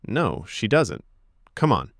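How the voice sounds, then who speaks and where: A casual young love interest played by a male narrator in a romance podcast. He sounds gentle and apologetic, with a fairly low but lively voice in a dry, close recording. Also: slow pace, no echo, heavily acted.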